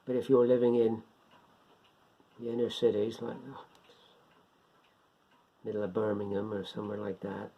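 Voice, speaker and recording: A man giving an informal, unscripted beginners' explainer in a rambling, step-by-step way, repeating himself, with a gravelly, husky voice. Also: fairly low pitch, flat delivery, moderate pace, pauses often. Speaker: hobbyist presenter of a beginners' guide. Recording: dull lo-fi home recording.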